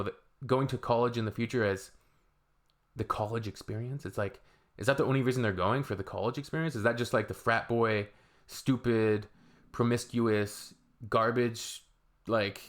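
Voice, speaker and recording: A man, hosting a reaction video in a loose, rambling way with shrugging asides. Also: mid-range voice. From Canada. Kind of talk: casual reaction commentary.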